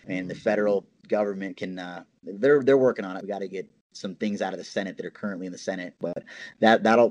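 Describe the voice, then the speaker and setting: An American man with a somewhat low voice speaks in a casual, conversational way. He explains things with easy enthusiasm, like a guest laying out his trade in an interview. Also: a little mellow. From Hawaii, United States. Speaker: interview guest, cannabis grower and entrepreneur.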